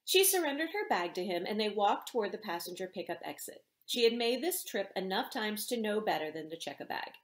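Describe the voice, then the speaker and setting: A middle-aged woman, an author reading her romance novel aloud in an expressive narrating style. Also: medium pitch, fairly quick, few pauses. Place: indoor room with bookshelves. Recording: roomy indoor echo.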